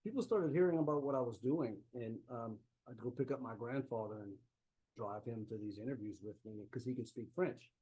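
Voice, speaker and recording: South louisiana guy telling stories on a video call, warm low-key tone, laptop mic. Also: a little fast, slightly rough, rough recording. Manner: folksy, easygoing storytelling. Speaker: author and historian giving a talk. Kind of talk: conversational remote lecture. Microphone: laptop microphone on a video call.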